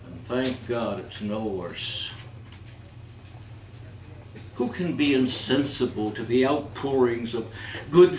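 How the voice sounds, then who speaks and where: Male host for live holiday readings and quiz banter, theatrical and playful, heard from a distance in a noisy, echoing hall. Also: medium pitch. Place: indoor community event before an audience. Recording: echoey room, distant mic, noisy audience.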